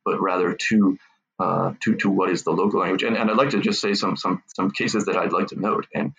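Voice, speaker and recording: A man from Massachusetts, an author and academic, answering in an unscripted interview in a halting way, with many restarts and repeated words. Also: low-pitched, steady tone, gravelly, a bit bright, slightly rough, low-quality recording.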